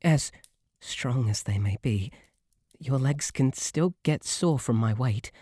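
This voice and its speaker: A man with a British accent playing a shy, devoted, submissive bunny butler in an intimate ASMR roleplay, softly spoken with a formal, deferential, faltering manner. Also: medium pitch, slow, husky. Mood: gently concerned. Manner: flustered, deferential, formal, stammering. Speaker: roleplay audio performer. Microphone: close, dry microphone.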